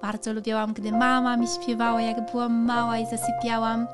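A woman's voice: fairly high pitch, completely flat delivery, moderate pace, over loud music, lightly acted. A woman voicing a chatty puppet mother who is fond of lullabies. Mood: chatty, eager.

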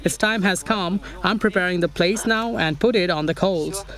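A man's voice: high-pitched, moderate pace, a bit bright. A young man explaining a building project step by step in a casual outdoor vlog in a forest. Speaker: vlogger building a treehouse.

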